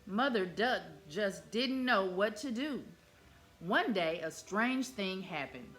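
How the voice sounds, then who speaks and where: A woman reading a children's picture book aloud in an expressive, animated way. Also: mid-range voice, slow pace, faint music behind. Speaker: storyteller.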